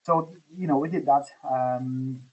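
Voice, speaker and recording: Polish man, 40s, founder guest; monotone, hesitant, lo-fi audio. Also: mid-range voice, unhurried. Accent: Polish, with some Scottish influence. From Poland. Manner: hesitant, many fillers and restarts. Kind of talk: podcast interview.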